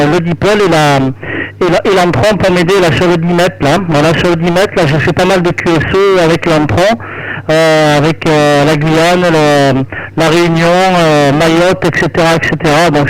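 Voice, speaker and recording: A man's voice: a little high, poor audio. A man who works as an amateur radio operator, chatting casually on air and often ending phrases with small conversational tags.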